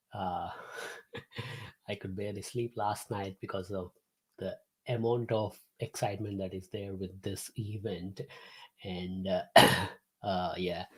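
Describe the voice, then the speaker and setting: A man's voice: fairly low pitch, slightly rough. A man, hosting a live-streamed class from his home office, chatting to viewers with many fillers and restarts. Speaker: host and instructor.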